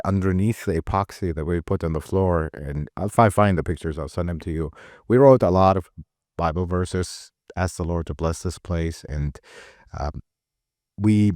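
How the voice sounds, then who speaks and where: A middle-aged mechanic from Iraq, talking earnestly in a casual conversation, often repeating and restarting words. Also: male voice, low voice, a little mellow, no room sound, clean studio sound. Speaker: mechanic and shop owner.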